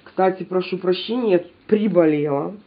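A woman with a cold talks through a shopping haul, her voice husky and a little breathy with a blocked nose. The sound is dull and lo-fi, like a home camera mic. She chats animatedly and speaks straight to her viewers. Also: fairly low pitch, moderate pace. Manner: chatty, animated, addresses viewers directly. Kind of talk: casual haul video chat to viewers.

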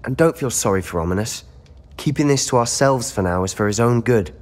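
A man's voice: medium pitch, animated, a little fast, lightly acted. A young man with a British accent playing a defiant, secretive teenage wizard in a video game, earnest and insistent. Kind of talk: video game cutscene acting.

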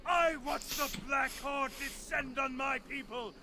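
An actor playing a crazed, despairing refugee doomsayer in a fantasy video game, declaiming fervently in drawn-out phrases. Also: male voice, very high voice, steady tone, a crowd in the background. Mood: frantic, ranting.

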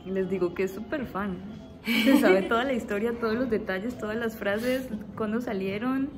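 A woman's voice: fairly high pitch, normal speed, heavy background noise. A woman in her twenties hosting a casual vlog, bubbly and laughing often, filming on a phone held at arm's length. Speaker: vlogger host.

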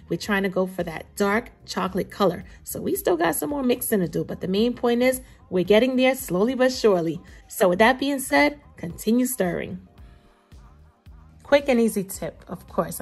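A woman's voice: medium pitch, lively intonation, fairly quick, vocal fry, a little mellow, husky, soft background music. A woman narrating a recipe casually as a cooking host, chatty and playful, talking straight to viewers.

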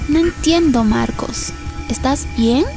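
A woman acts a devoted wife in a storybook legend. Her fairly high voice is tender and questioning, full of concern, over clear background music. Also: takes it slowly, warm tone, full character voice. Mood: worried, tender. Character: worried, loving wife of an old man.

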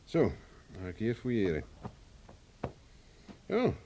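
An actor playing a cocky, wry, hard-drinking investigator in a radio play, speaking in a dry, self-assured way. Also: male voice, on the low side, wildly swooping pitch, slow, a little mellow, a little hiss or hum, heavily acted. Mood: cool, threatening. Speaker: actor in the lead role. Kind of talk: Dutch radio play acting. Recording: dull, lo-fi old radio recording.

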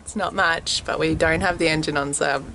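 Aussie woman in her 40s, relaxed, laughing vlog chatter on deck, wind noise faint behind. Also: deep voice, creaky. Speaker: vlogger, sailing family mum. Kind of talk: casual travel vlog narration. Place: outdoors on a sailboat deck at sea. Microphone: handheld camera at arm's length.

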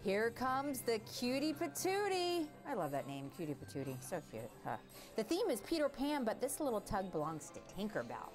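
A woman's voice: a little high, wildly swooping pitch, normal speed, music in the background. A woman co-hosting a parade broadcast with cheery, playful, upbeat scripted commentary.

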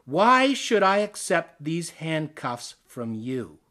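A Canadian man performs poetry with theatrical flair. His voice sits a little high for a man and moves through a lively range of pitch. Each phrase is placed deliberately, as an actor would in a dramatic reading. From Ontario, Canada.

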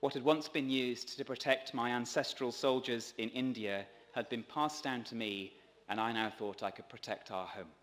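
A man in his thirties, a priest, delivers a scripted sermon in a measured, earnest way from a church pulpit. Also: mid-range voice, fairly even intonation, a bit bright. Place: large stone church, at a pulpit. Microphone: gooseneck lectern microphone. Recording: long church reverb.

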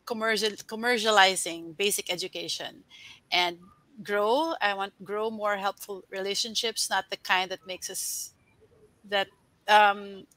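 A woman's voice: medium pitch, pushed and bright, dry recording, poor audio. A woman in her thirties or forties giving considered answers as a panelist on a video call. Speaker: panelist, a parent.

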